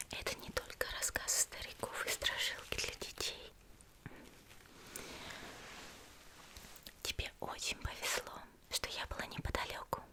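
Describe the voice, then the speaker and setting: A woman whispering in an ASMR roleplay as a gentle, composed young female demon slayer healer, slow, soothing and politely teasing, close to the microphone. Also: natural voice. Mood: calm, confiding.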